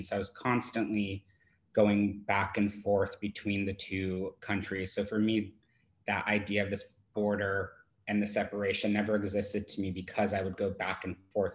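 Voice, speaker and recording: A man from San Diego, a designer on a virtual panel, speaking reflectively and personally. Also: lowish voice. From USA (San Diego).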